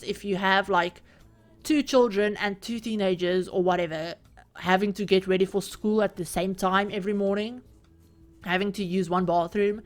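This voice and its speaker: A woman chats loosely through a home-recorded voiceover, her voice sitting at an ordinary middle pitch. She rambles and circles back with filler words and quick laughs. Faint music plays underneath. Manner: chatty and rambling, with frequent "like" and laughs. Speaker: video creator and host. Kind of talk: casual voiceover over gameplay. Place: home room with the door open.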